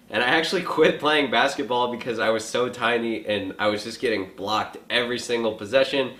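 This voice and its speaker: Joking twenty-year-old guy in echoey room. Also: mid-range voice. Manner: relaxed, joking, chatty with filler likes. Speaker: fitness vlogger answering viewer questions. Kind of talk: casual question and answer vlog. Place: home kitchen.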